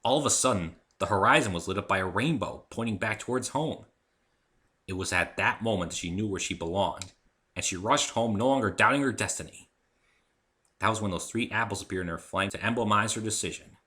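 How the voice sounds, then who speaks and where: Deep, forceful-voiced man narrating a story. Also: fairly quick. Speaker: author reading own story. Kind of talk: dramatic story reading, first-person narration.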